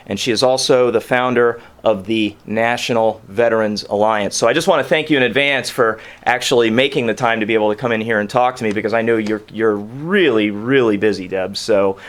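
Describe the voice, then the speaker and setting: A man hosting a studio interview show, talking in an upbeat, chatty way. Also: medium pitch. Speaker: show host. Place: local radio studio.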